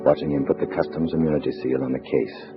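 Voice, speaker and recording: A very low-pitched, hard-boiled undercover agent, clipped and wry. It is old-time radio thriller acting, muffled, with prominent music. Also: male voice, fairly even intonation, fast. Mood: grim narrating. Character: tough, wary male undercover agent posing as communist comrade. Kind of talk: 1950s radio drama acting with first-person narration.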